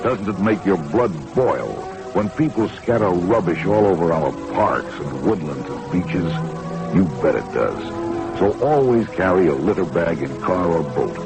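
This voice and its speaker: A deep-voiced American man delivers a scripted 1960s radio public service announcement. He speaks earnestly, urging listeners on with rhetorical appeals, while music plays clearly behind him. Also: expressive, measured pace, poor audio.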